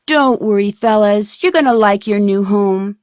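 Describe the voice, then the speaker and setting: A woman's voice: medium pitch, measured pace, darkish tone, no room sound, clean studio sound, lightly acted. A woman voicing a plucky, curious young female detective in a video game, speaking earnestly and conversationally as if thinking aloud. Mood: matter-of-fact. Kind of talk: scripted video game voice acting.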